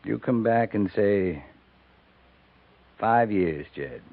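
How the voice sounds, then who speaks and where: Deep-voiced, grave cavalry captain. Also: male voice, expressive. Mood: gruffly kind. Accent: American. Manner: terse, solemn, measured. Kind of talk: 1950s radio western acting. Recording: narrow-band 1950s radio recording.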